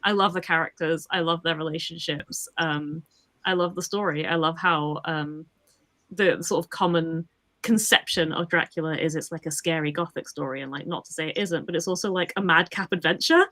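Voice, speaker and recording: A woman's voice: low-pitched, fairly even intonation, moderate pace, fairly bright tone. A woman voice actor chatting in a casual Q&A over a video call, laughing often and poking fun at herself. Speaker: voice actor, guest. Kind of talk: casual livestream Q&A chat.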